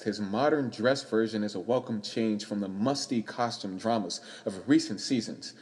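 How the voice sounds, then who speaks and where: An actor in a radio play playing an earnest, hesitant young stage actor, recorded with hall-like reverb. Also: male voice, on the low side, darkish tone, natural voice. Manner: hesitant, halting.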